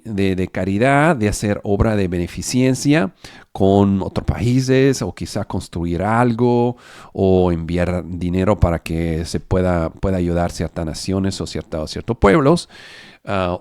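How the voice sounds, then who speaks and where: Man preaching, non-native Spanish, low gravelly voice, lively intonation, halting speech. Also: no echo, studio quality. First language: not Spanish. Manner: halting, with fillers and self-corrections. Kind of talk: online sermon.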